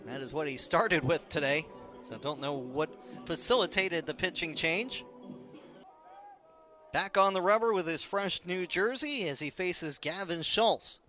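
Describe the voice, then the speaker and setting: A high-voiced American man delivers live radio baseball commentary. He narrates the game in a measured, detailed way and lifts readily into excitement when the action builds. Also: faint music behind. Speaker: baseball play-by-play announcer.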